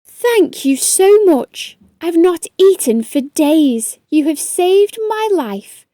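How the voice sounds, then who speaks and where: A woman's voice: extremely high pitch, very animated, slow pace, mellow, no echo, studio quality, pitched above the performer's own voice, heavily acted. A woman voicing a huge, exhausted and grateful female blue whale in a children's storybook, plaintive and heartfelt. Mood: relieved.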